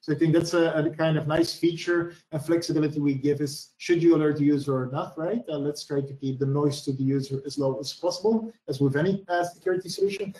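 Male presenter talking through a screen-share demo, slightly high voice, roomy echo. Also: steady tone, fast, hardly any pauses, warm tone. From Belgium. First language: Dutch. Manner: explanatory, frequent "right?" tags and "uh" fillers. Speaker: security product presenter.

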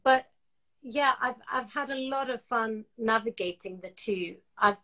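A woman's voice: on the high side, measured pace, sharp tone. A woman whose first language is Norwegian, a guest in a video-call interview, answering thoughtfully with light laughs. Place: home room. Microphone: video call webcam.

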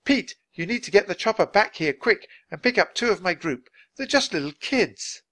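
A flustered woman guide , acted out by a male narrator, pitched high and swooping. She is bossy yet caring, exclaims often and trips over words when shocked, as in a children's storybook. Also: expressive, normal speed, sharp tone. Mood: urgent.

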